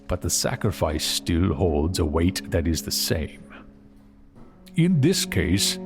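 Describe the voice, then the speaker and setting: A wise old dragon in a fantasy audio drama. He is gentle and measured, with a deep, creaky voice that wanders fondly through memories over a music bed. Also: heavily acted. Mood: solemn, gentle. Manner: measured, halting, fond. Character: wise old male gold dragon mentor, gentle, reminiscing.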